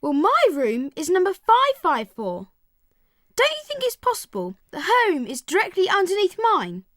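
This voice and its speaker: An eager little boy mouse in an audio drama. The voice is tiny and extremely high, with animated pitch and plenty of pluck. It sounds close and dry. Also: moderate pace, no echo, heavily acted. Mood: eager, scheming.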